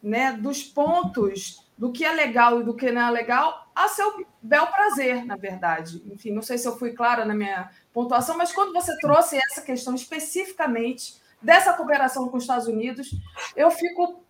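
A woman's voice: on the high side, lively intonation, moderate pace, slight background noise. A woman hosting a live political interview, asking long rambling questions full of fillers. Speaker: host. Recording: roomy echo.

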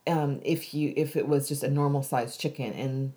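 A woman's voice: a bass voice, fairly even intonation, moderate pace, warm tone. An American woman being interviewed, talking thoughtfully with many restarts and easy laughs. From United States. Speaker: interview guest. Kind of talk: reflective conversational interview.

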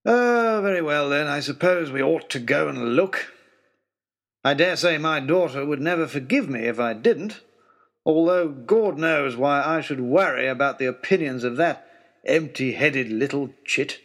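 Man playing a haughty, high-pitched English lord. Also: animated, measured pace, fairly bright tone. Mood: grudging, scornful. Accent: upper-class English. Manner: blustering, exasperated, dismissive. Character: haughty, irascible English lord leading a polar expedition.

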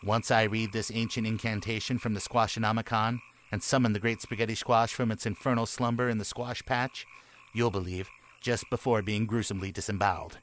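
A man's voice: on the low side, little pitch movement, fairly quick, fairly bright tone, slight background noise, natural voice. A man playing a vengeful, petulant boy villain in a comedic radio play, with a theatrical, grandiose delivery. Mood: menacing, gloating.

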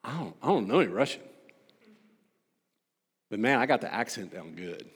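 A man delivering a sermon to a congregation as a preacher, emphatic and rhetorical, building points through repetition and pointed pauses. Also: lowish voice, big pitch swings, slightly rough. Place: large reverberant hall. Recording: long hall reverb.

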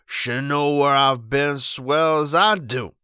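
A man's voice: medium pitch, pushed and bright, clear, resonant tone, dry recording, studio quality, pitched above the performer's own voice. A man doing a slurring drunk old elder. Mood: drunkenly pompous. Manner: slurred, slow, grandly dignified. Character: drunk, pompous old male church elder.

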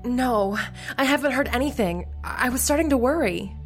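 Woman acting a worried hotel clerk girl, high voice, unsure, music faint behind. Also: lively intonation, moderate pace. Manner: hesitant, worried. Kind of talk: audio drama acting.

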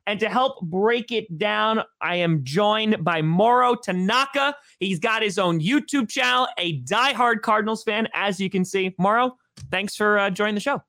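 High-voiced young man hosting a podcast. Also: normal speed. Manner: excited, loose, lots of fillers. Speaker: podcast host. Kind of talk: casual sports podcast chat.

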